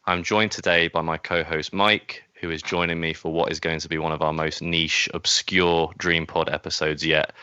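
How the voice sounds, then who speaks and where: A man's voice: very deep voice, little pitch movement, normal speed, few pauses, bright, forceful tone, clear, resonant tone, dry recording, lo-fi sound. A man hosting a podcast in a casual, chatty way. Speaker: podcast host. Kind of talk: casual podcast chat and introduction.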